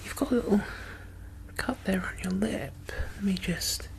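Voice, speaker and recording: A woman doing a soft-spoken, whispered ASMR caregiver roleplay in a gentle, murmured, reassuring way. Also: medium pitch, moderate pace.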